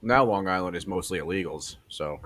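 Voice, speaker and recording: Deep-voiced, profane comedy podcast host. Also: male voice, a little fast, background noise. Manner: loose, profane, joking. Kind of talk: crude comedic banter.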